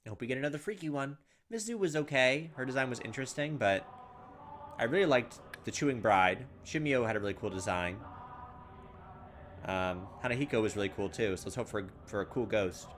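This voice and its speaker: Chatty man with a lively, swinging pitch. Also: a little fast, slight background noise. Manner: chatty, excitable, muses aloud. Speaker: let's play host. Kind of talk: casual game commentary, reading text aloud.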